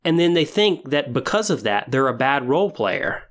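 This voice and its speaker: Male podcast host, medium pitch, measured and explanatory solo delivery with emphasis on key phrases. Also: very animated. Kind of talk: podcast monologue giving tips on tabletop roleplaying.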